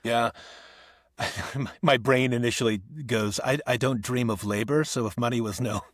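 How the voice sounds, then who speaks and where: A man's voice: medium pitch, fairly even intonation, unhurried, no echo. Reflective man in a dry booth. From Northeast Ohio. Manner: reflective, thinks aloud with restarts and soft laughs. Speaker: actor and audiobook narrator, guest. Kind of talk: conversational podcast interview.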